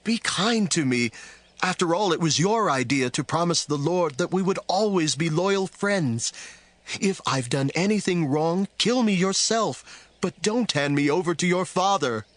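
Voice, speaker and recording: Man acting anxious young fugitive, higher voice. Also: lively intonation, a little slow, fairly bright tone, no echo. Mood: earnest, urgent. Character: anxious young male fugitive, earnest and pleading. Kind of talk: dramatized audiobook acting.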